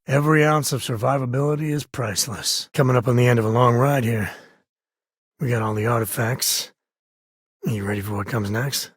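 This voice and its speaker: An actor playing a wry, easygoing space cowboy explorer in a video game, a man with a husky, raspy voice and folksy, laid-back phrasing. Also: medium pitch, no echo, clean studio sound, close to an everyday voice. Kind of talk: video game character voice lines.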